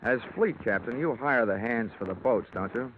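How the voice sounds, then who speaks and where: An actor playing a wry, wisecracking freelance insurance investigator in old radio detective drama style, dry and clipped. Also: male voice, mid-range voice, lively intonation, moderate pace, a little hiss or hum, natural voice. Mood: cool, wry. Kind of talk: 1940s-50s radio detective drama acting and narration. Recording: tinny vintage radio recording, music behind.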